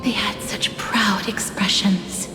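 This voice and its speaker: Woman acting young personification of death, game drama, solemn, soft, formal, echoing, music behind. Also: mid-range voice, little pitch movement, slow, in a whisper, natural voice. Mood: sorrowful, reflective. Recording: echoey long reverb, music behind.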